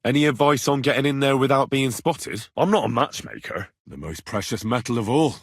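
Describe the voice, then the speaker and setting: A man's voice: mid-range voice, animated, a little fast, gravelly, husky, no room sound, clean studio sound. A man playing a young, plain-spoken medieval adventurer in a video game, wry, earnest and easily exasperated. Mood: earnest, conversational. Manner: earnest, blunt, exasperated, conversational. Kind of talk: video game dialogue acting.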